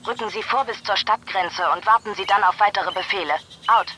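An actress playing a stern army dispatcher who gives clipped, formal orders over military radio in a video game. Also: female voice, medium pitch, fairly even intonation, fairly quick, bright, forceful tone, slight background noise. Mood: businesslike, commanding.

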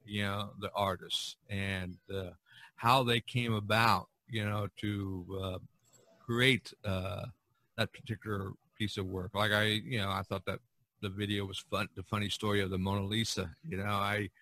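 A man hosting a podcast, talking casually about art in a halting, rambling way. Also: lowish voice, flat delivery, sharp tone, no room sound. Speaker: podcast host.

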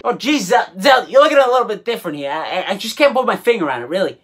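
A woman hosting a comedy show with casual, sarcastic reaction commentary, exasperated and halting as she repeats herself. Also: mid-range voice, lively intonation, fairly bright tone, husky. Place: small indoor room full of collectibles.

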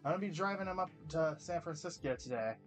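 A man's voice: a little high, expressive, fast talker, faint music behind, voice pushed up, close to an everyday voice. A man putting on a brash, teasing college buddy. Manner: playful, ribbing, brash.

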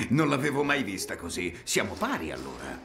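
A man playing a big, gruff restaurant cook in an animated video game. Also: lowish voice, normal speed, crowd noise. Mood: apologetic, amused.

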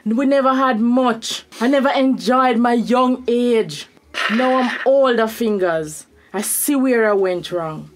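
A woman's voice: a little high, slow pace. A woman playing a regretful wife in a radio soap, pleading earnestly for forgiveness.

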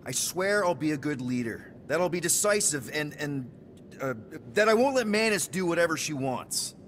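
A man's voice: a little high, expressive, normal speed, fairly bright tone, slight background noise. An actor playing a casual, joking male space captain.

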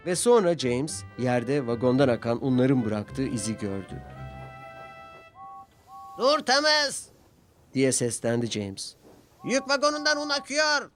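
A man narrates a children's story from a script. His mid-pitched voice moves in lively, expressive swings. Music plays in the background.

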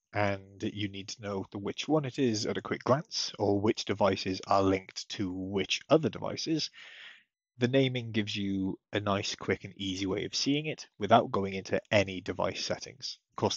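A man with a fairly low, husky voice walks through software setup as a tutorial presenter. He explains each step methodically. The voice-over sounds slightly muffled. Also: no echo. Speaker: technical support tutorial presenter.

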